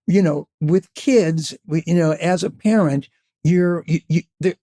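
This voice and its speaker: Rambling older man, pitched a bit high. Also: unhurried, dark tone. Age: 70s. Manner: rambling, searching for words. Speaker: podcast guest, singer. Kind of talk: casual storytelling chat.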